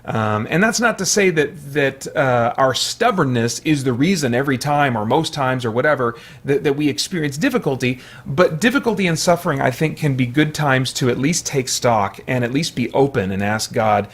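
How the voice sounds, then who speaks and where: A man hosting a casual, unscripted film review, speaking conversationally and thoughtfully, often pausing to qualify what he says. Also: mid-range voice, animated. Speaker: host of a film review channel.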